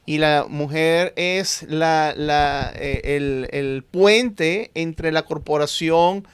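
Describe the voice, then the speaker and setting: A middle-aged man speaks with a Venezuelan accent, pressing his points through repetition as a guest in a conversation. His voice sits on the higher side and sounds smooth and clear. The recording is dry and close. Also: drawn-out words, no room sound. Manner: emphatic, repeats phrases for stress. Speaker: guest, eye doctor. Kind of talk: conversational interview.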